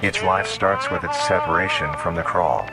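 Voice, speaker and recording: A man with a very low voice narrates in the scripted style of a documentary. His delivery is formal and clinical, with measured phrasing. Music plays clearly behind him. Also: fairly even intonation.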